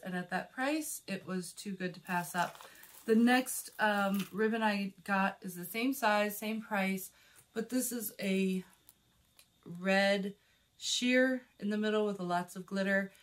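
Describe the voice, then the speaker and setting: Slightly hoarse woman chatting about a haul. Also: mid-range voice. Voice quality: a little husky. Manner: friendly and chatty, holding up items as she talks. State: slightly hoarse after illness. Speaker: vlogger host. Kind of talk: casual shopping haul chat. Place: small indoor room in front of a plain wall. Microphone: phone camera with a clip or shotgun mic at close range.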